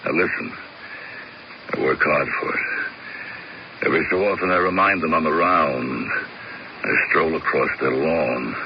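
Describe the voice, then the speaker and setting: An actor playing a blunt, cocky hired enforcer in a 1970s radio drama, with a gravelly voice. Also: male voice, very deep voice, lo-fi sound, heavily acted. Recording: hissy old radio broadcast.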